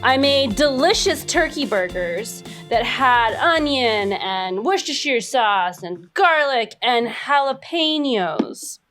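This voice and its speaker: A woman's voice: on the high side, expressive, takes it slowly, hardly any pauses, a bit bright, faint music behind. A woman telling comic anecdotes in casual chat and putting questions to a panel, with playfully dramatic emphasis. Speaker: panel professor posing quiz question.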